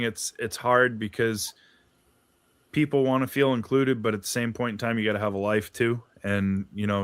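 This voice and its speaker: A man's voice: lowish voice, a bit bright, clean tone, no room sound. A man from Maine chatting casually about his video channel, an easygoing storyteller full of "you know" and "yep". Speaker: host. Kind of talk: casual chat.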